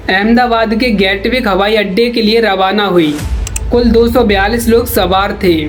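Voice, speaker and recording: A woman delivers scripted documentary-style news narration. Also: medium pitch. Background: background music.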